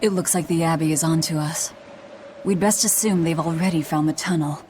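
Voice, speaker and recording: A woman voicing a grim, hard-bitten young outlaw in a video game, curt and deadpan. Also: low-pitched, a little fast, slight background noise, lightly acted. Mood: cool, calculating.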